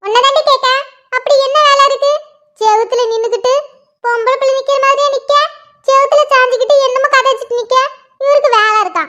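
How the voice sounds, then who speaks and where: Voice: steady tone, quick pace, bright, forceful tone. A performer voicing a nagging mother who scolds her two daughters over housework, on a dull lo-fi recording. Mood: scolding. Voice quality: shrill, nagging. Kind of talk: one-person comedy skit.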